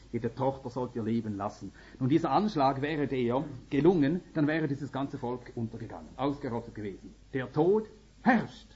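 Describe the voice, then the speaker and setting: A man's voice: medium pitch, darkish tone, slightly rough. A middle-aged or older man from Finland, a native Swedish speaker with a Swedish-speaking Finnish accent, preaching a sermon in an earnest, emphatic manner that climbs to urgent peaks. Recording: slightly dull lo-fi recording.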